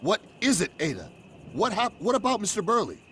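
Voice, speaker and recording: Actor as skeptical, wildly animated salesman. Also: high-pitched, a little fast, slight background noise, heavily acted. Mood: alarmed. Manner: emphatic, dramatic. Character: hard-nosed, skeptical male real-estate agent. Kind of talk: old-time radio horror acting.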